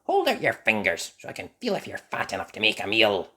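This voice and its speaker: A storyteller voicing a nasty, sly old witch in a children's story, hungry and gloating, with a husky drawl. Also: mid-range voice, wildly swooping pitch, fairly quick, pushed and bright, full character voice.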